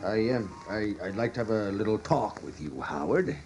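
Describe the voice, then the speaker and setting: A man's voice: fairly low pitch, expressive, moderate pace, loud music behind the voice, lightly acted. An actor playing a weary, proud older American salesman in a stage-style radio drama, stammering and rambling with desperate emphasis. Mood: nervous. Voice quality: husky, gravelly. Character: weary ageing travelling salesman, proud, desperate, self-deluding.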